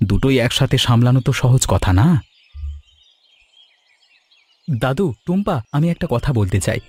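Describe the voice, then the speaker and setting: Man playing a lively, fast-talking kind gentleman. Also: medium pitch, slightly rough. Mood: earnest. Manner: expressive, earnest, caring. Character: kind, generous gentleman customer, eager to help. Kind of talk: animated cartoon story dialogue.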